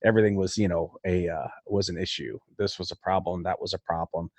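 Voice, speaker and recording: Low-voiced male podcast host, dry sound. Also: fairly even intonation, a little fast, no echo. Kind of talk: casual conversational interview.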